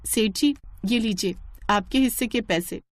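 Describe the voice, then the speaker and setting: A woman's voice: a little high, measured pace, no echo, close to an everyday voice. A woman voicing a poor, dutiful young food-cart seller in a moral story, earnest and respectful. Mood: cheerful, dutiful.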